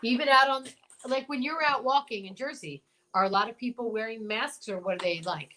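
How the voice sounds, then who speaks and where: A woman's voice: a little high, fairly quick, bright, forceful tone. A woman co-hosting a show in casual interview chat, with an effusive, encouraging manner. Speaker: show co-host.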